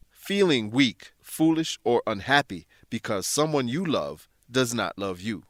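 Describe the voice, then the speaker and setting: A man's voice: mid-range voice, a bit bright, no echo. Male English teacher narrating, dry studio sound. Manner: instructional, deliberate word-by-word explanations. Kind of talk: scripted educational narration.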